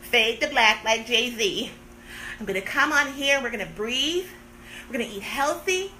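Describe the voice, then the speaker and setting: Thirty-something woman doing a live stream, high bright voice, slow and drawn out, sarcastic and playful. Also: expressive, slight background noise, poor audio. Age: 30s. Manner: playful, sarcastic, theatrical complaining. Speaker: livestream host. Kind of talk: casual live-stream chat with viewers.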